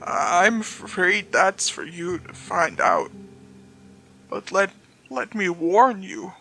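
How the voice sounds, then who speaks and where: A woman voicing a weary, cowardly damned soul in a horror story, speaking slowly and haltingly with drawn-out pauses. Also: mid-range voice, sing-song, vocal fry, pushed and bright, faint music behind, pitched below the performer's own voice, heavily acted. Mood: grave warning. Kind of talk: horror story character acting.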